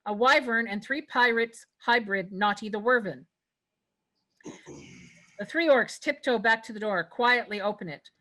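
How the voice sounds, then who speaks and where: A woman's voice: on the high side, a little slow, long pauses, pushed and bright, rough recording. A woman reading stage directions as narrator in a scripted fantasy table read.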